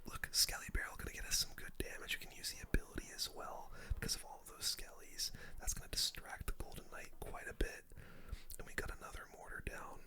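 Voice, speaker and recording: A young adult streamer whispering casual, slangy gaming commentary in an ASMR style, close to the microphone. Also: fairly quick. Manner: casual gamer slang, addresses viewers as dude and guys. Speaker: video game streamer.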